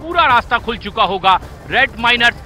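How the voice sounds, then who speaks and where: A man's voice: very high-pitched, animated, a little fast, pushed and bright, faint music behind. A man anchoring live breaking news in an urgent, emphatic manner, often repeating phrases for weight.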